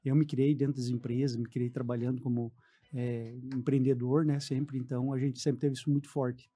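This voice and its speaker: A man's voice: medium pitch, level tone, normal speed, warm tone, slightly rough. A man in his forties with a southern Brazilian accent, telling his story casually as a guest on a radio interview. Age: 40s. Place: radio studio.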